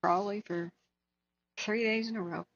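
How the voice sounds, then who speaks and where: A hoarse woman hosts a casual livestream, her voice husky and worn after long hours on air. She speaks earnestly and often stops to answer viewers by name. The sound is a little dull and lo-fi. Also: medium pitch, fairly even intonation, normal speed. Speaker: wildfire tracking livestream host.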